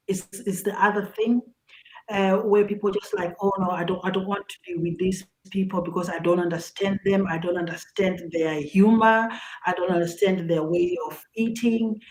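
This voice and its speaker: A woman's voice: mid-range voice, darkish tone, low-quality recording. A middle-aged woman with a Zimbabwean accent, giving earnest personal advice as a talk show guest, often repeating words as she searches for phrasing.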